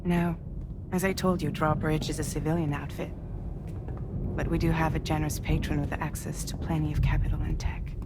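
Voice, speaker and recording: An actress playing a composed, assured commander of a civilian crew in a video game, speaking in a measured way. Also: female voice, low voice, level tone, heavy background noise. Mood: calm, guarded. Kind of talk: video game cutscene acting.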